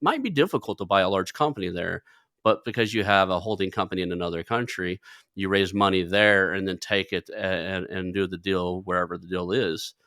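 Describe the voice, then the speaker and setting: Low-voiced man interviewing, dry sound. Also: brisk, a bit bright, no room sound. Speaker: podcast host, interviewer. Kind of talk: casual interview chat.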